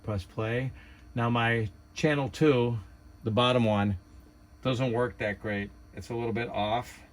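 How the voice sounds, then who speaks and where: A man's voice: mid-range voice, fairly even intonation, a little slow, a little hiss or hum. A man giving a casual hands-on tutorial, thinking aloud in an unpolished, hesitant way. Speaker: hobbyist demonstrator.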